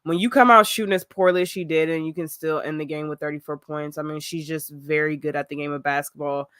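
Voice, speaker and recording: A woman fighting off a cold talks basketball in a relaxed, chatty way. Her voice is bright and smooth despite the cold, and it sits close and dry with no room sound. She has an easy laugh. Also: sits low, quick pace, no echo. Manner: laughs easily, relaxed and conversational. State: sick with a cold, voice rough. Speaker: podcast co-host. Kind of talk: casual basketball chat.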